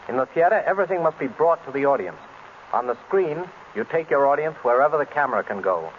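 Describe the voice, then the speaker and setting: An American man speaking as a guest in a scripted 1930s radio interview. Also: mid-range voice. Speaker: screenwriter guest. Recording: tinny, noisy 1930s radio broadcast.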